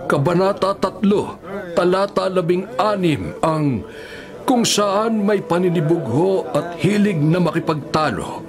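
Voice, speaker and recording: An actor playing a devout, patient elderly prisoner in a radio drama, with a Filipino accent and an earnest, preachy, measured way of talking. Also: male voice, sits high, exaggerated voice. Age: older. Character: kindly, patient, devout elderly male prisoner giving counsel.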